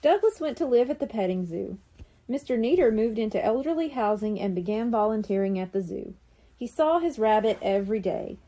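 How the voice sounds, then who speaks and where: A woman narrating a children's storybook in an animated storytelling manner. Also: on the high side, fairly quick, poor audio. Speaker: audiobook narrator.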